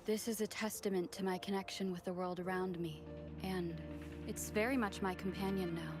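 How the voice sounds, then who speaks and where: A woman voicing a calm, caring, level-headed young heroine in a video game, with measured, earnest delivery. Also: mid-range voice, natural voice. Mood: reflective. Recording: game music behind.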